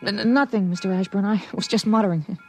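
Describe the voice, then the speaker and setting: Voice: medium pitch, fairly even intonation, fast talker, faint music behind, close to an everyday voice. An actor playing a polite, guarded schoolboy with hesitant, youthful talk, in a vintage radio drama style. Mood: guarded, boyish. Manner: hesitant, stammering, youthful slang. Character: schoolboy with a secret adult knowledge, guarded, polite.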